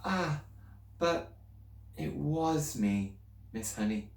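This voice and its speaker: A man's voice: a little high, lively intonation, extremely slow, creaky, pitched above the performer's own voice, full character voice. A man voicing an earnest, wide-eyed little girl. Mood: excited. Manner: eager, pleading, breathless questions. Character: small bright girl, earnest and wondering. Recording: roomy, distant mic.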